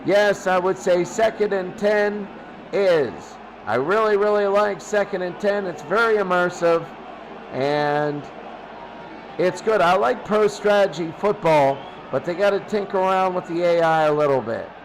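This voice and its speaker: High-pitched man calling football play-by-play. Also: measured pace, slight background noise, rough recording. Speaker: play-by-play commentator for a video game football stream. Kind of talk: sports play-by-play commentary.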